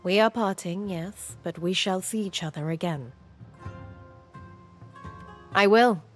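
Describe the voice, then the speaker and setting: An actress playing a confident, gracious alchemist in a game, speaking in a measured way. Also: female voice, mid-range voice, soft background music. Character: female alchemist, confident and poised. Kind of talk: video game cutscene acting.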